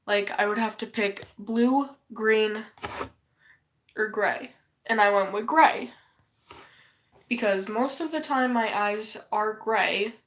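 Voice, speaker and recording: Slow, sighing woman losing her voice. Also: medium pitch. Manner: sighing, rambling, swears casually. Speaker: vlogger. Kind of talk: rambling casual vlog chat.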